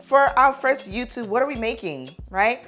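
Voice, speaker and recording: A woman's voice: a little high, expressive, normal speed, music in the background. A playful, jokey woman from Haiti, raised in New York, casually hosting a cooking tutorial and often checking in with the viewer. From born in Haiti, raised in New York, United States. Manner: repeats words for emphasis and checks in with "right?" and "okay?". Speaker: chef and cooking show host.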